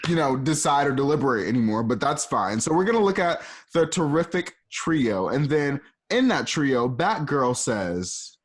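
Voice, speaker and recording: A man's voice: medium pitch, very animated, moderate pace, hardly any pauses. A man narrating an amateur radio drama in a casual, playful way, with chatty asides and laughter.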